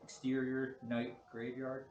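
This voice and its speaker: A man's voice: mid-range voice, monotone, unhurried, lo-fi sound. A man leading a script table read, talking casually through a project and reading screen directions aloud. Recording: echoey room, distant mic, loud noise.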